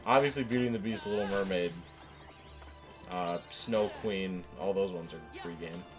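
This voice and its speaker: A man's voice: deep voice, normal speed, music in the background. A man co-hosting a gaming show, chatting casually over gameplay in a teasing, opinionated, rambling way.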